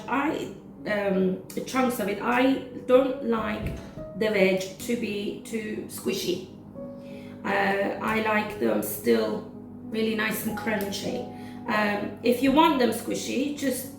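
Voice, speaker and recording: A woman running her cooking vlog, a little hoarse, chatting casually with lots of "um" fillers as she demonstrates a recipe at home. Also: medium pitch. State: slightly hoarse from losing her voice. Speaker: cooking vlogger. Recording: echoey kitchen, mic at a distance, background music.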